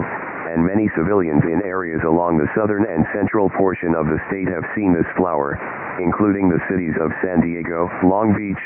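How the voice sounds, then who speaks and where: A man playing a grave emergency alert announcer, reading a formal script in a measured, impersonal, official manner over a broadcast. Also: sits low, lightly acted. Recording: tinny, hissy emergency radio broadcast.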